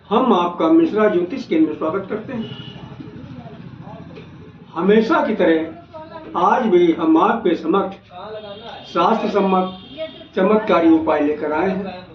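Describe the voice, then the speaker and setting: A man hosting as an astrologer, giving devotional remedy instructions in a deliberate, phrase-by-phrase way. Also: high voice. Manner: deliberate, phrase-by-phrase, repetitive listing. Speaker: astrologer host. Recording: echoey, noisy, dull lo-fi, distant mic.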